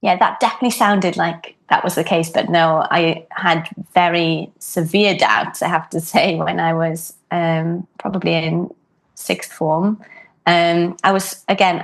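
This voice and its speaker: A woman in her thirties, a harpist, talking reflectively about her career in a podcast interview, with frequent ums. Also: fairly low pitch. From Wales. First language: Welsh. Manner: thoughtful, frequent ums and restarts. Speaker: guest harpist.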